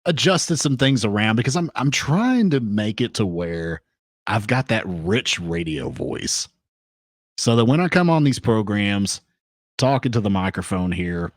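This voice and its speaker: A man hosting a solo podcast, talking off the cuff with hyped banter and shout-outs to his chat, into a compressed studio microphone. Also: fairly low pitch, big pitch swings, measured pace, no room sound. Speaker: podcast host.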